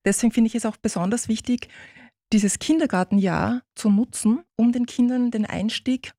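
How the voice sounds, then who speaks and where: A woman's voice: on the high side, normal speed, warm tone, no room sound, studio quality. A woman from Turkey, whose first language is Turkish, talking as a guest author in a radio interview and explaining things in a friendly way. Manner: friendly, explanatory, often confirms with "genau".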